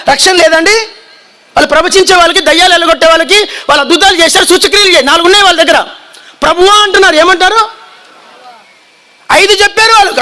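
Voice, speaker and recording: Male preacher, piercing high voice, shouts, drills the congregation with repeated lines. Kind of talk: fiery sermon.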